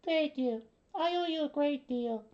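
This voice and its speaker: A man voicing a grateful, earnest young princess in a high falsetto, on a dull lo-fi recording. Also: measured pace.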